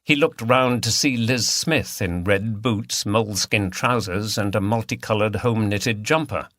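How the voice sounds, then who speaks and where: A man's voice: on the low side, a little slow, fairly bright tone, no room sound. Man narrating a mystery audiobook, dry sound. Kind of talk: scripted mystery novel narration.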